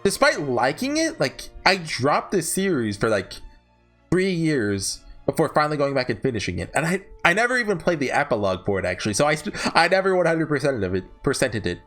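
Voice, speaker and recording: Guy doing casual tier list commentary, kinda high voice, pitch jumps all over, halting and rambly, faint music behind. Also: big pitch swings, a bit bright. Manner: rambling, halting, self-correcting. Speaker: video host ranking visual novels.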